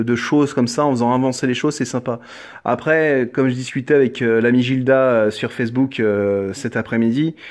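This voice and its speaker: A man chatting casually as an amateur radio operator, earnest and hesitant, often repeating himself as he goes. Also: mid-range voice.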